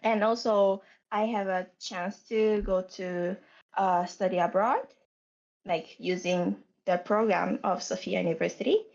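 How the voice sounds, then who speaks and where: A woman's voice: mid-range voice, a little slow, creaky, a little mellow. A young woman from Japan with a Japanese accent, chatting as a podcast guest and searching for her words as she goes. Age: young adult. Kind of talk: casual podcast chat. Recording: dull lo-fi sound.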